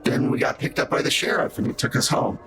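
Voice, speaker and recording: A man voicing eerie identical triplet brothers who talk as one, in a flat, hesitant way, for a tabletop game. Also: fast talker, over loud music, natural voice. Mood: eerie calm.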